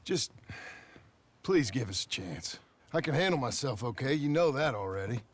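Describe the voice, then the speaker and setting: An actor playing an earnest, desperate American drifter looking for ranch work in a western game, plain-spoken and halting. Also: male voice, mid-range voice, expressive, husky. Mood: earnest pleading. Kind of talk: western video game acting.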